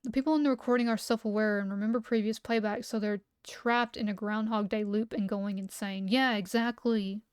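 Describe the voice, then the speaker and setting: A woman livestreamer reading document entries aloud and chatting between them, her voice a little hoarse from talking so much, sometimes skipping text with "blah, blah". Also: mid-range voice, fairly even intonation, fairly quick, few pauses, no echo, clean studio sound. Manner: skips text with "blah, blah" asides. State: losing her voice. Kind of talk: reading entries aloud, then casual chat.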